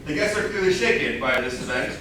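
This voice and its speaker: A man's voice: medium pitch, expressive, fairly quick. Male stage-direction narrator in echoey room. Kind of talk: scripted narration at a staged reading. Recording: very echoey room, distant mic, noise.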